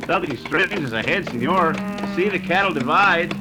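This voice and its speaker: High-voiced bandit acted by a man. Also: expressive, moderate pace, loud music behind the voice, natural voice, low-quality recording. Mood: wary. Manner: exclamatory, Spanish words mixed into stilted English. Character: wary, excitable Mexican bandit of the old West. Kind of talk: old-time radio western acting.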